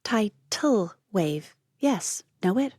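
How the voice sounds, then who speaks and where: A woman voicing a chatty young American woman in an audiobook, lively and quick to tease. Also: medium pitch, husky, dry recording, clean studio sound, voice pushed up, heavily acted. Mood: teasing. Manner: lively, teasing, quick to banter. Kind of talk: audiobook character voice.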